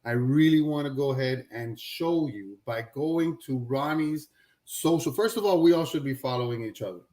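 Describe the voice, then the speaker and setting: A man hosting a live stream with energetic, hype-style shout-outs, bringing guests in and out. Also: medium pitch, moderate pace. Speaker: host.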